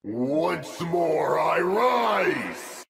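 An actor voicing a fierce, tormented warrior in a video game, gravelly, with drawn-out dramatic battle cries. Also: male voice, a little high, animated, very noisy, heavily acted. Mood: anguished, desperate. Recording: echoey reverb, loud music behind.

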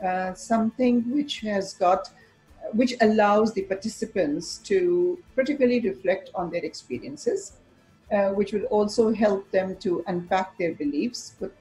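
A woman's voice: medium pitch, a little mellow, low-quality recording. A woman from Pakistan, English not her first language, speaking as a teacher educator in a thoughtful talk to a webcam from an office, with many fillers and restarts. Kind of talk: reflective talk to camera. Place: office with bookshelves, indoors.

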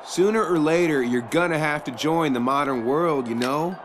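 An American actor playing a whiny, trend-chasing young band member in a video game, casual, hedging and condescending. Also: male voice, on the high side, normal speed, noisy background, lightly acted. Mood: placating, patronizing. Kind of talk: animated video game acting.

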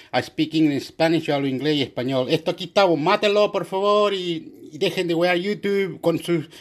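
A middle-aged man from Chile rambling casually to a phone camera held close to his face, repeating himself and trailing off. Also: a little high. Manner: repetitive, meandering, muttering. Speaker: reaction channel host. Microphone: phone or webcam close to face.

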